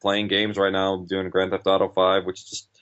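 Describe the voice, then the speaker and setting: Guy with a deep voice, informal rambly interview chat, kinda muffled lo-fi recording. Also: monotone, moderate pace, fairly bright tone, clean tone. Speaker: interview guest, podcast writer and director. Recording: dull, lo-fi audio.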